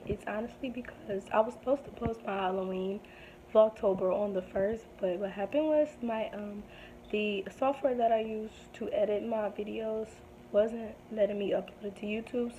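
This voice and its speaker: A woman's voice: medium pitch, warm tone, slight background noise, rough recording. A young woman vlogging casually to camera at home, rambling with many ums while losing her voice from a sore throat. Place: small home room indoors. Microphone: phone or webcam at arm's length.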